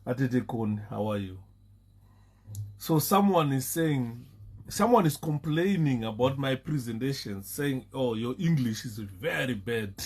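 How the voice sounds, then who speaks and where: A man's voice: medium pitch, animated, a little slow, darkish tone, husky. A Zimbabwean man talking casually on a live stream, stopping and repeating words and laughing often. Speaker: Facebook live host.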